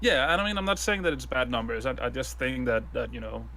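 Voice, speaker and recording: A man's voice: medium pitch, lively intonation, fast talker, gravelly, pushed and bright. A man from Denmark with a Danish accent, co-hosting a casual gaming podcast in a hesitant way, repeating words and saying uh often. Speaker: co-host.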